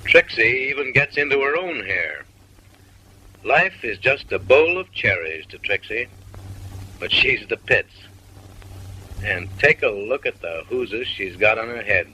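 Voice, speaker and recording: A man's voice: mid-range voice, big pitch swings, slow, pauses often, sharp tone, breathy edge, rough recording. A man narrating a comic short film in voice-over, mock-refined and wry, lecturing ladies with teasing, suggestive asides.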